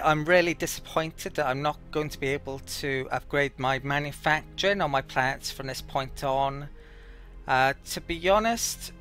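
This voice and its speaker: A man's voice: a little high, a bit bright. A man giving casual gameplay commentary as a let's play host, thinking aloud in a hesitant, rambling way.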